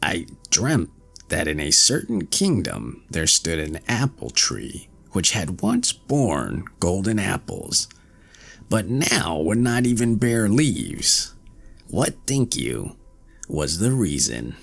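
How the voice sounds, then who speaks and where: A man voicing the devil's old grandmother, speaking slowly and softly. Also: deep voice, very animated, creaky, full character voice. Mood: calm. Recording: faint music behind.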